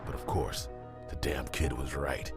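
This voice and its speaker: An actor playing a gruff, sardonic male mercenary in an audio drama. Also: rumbling low, steady tone, measured pace, loud music behind the voice. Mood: grim. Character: hard-bitten male mercenary, grim and dry.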